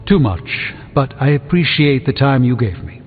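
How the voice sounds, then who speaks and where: An actor playing a thoughtful, weary sorcerer mentor in a video game, speaking in a measured, eloquent way. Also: male voice, medium pitch, lively intonation, lightly acted. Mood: reflective, hopeful. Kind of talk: video game character dialogue. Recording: muffled phone-like band, long reverb, music behind.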